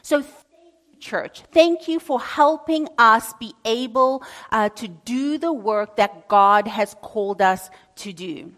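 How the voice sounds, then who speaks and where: Woman, booming voice, higher pitched, missionary speaker, upbeat and effusive. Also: takes it slowly, a bit bright. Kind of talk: church mission update.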